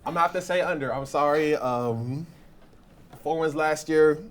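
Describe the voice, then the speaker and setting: A man discussing sports casually as a panelist, with halting, hesitant phrasing. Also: a little high, a little hiss or hum.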